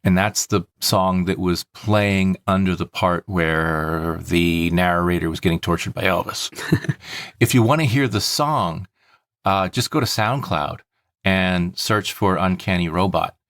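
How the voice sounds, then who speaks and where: A man with a low voice talks as a podcast host. His delivery is casual and explanatory, working through things methodically. The sound is dry, with very little room to it. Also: unhurried, fairly bright tone, no echo.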